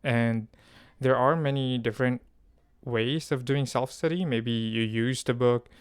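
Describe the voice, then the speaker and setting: A man's voice: mid-range voice, measured pace, smooth, no room sound, clean studio sound. A young man from the Philippines talking to camera in a loose, rambling way about language learning, with many fillers and restarts. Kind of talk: unscripted stream-of-thought talk to camera.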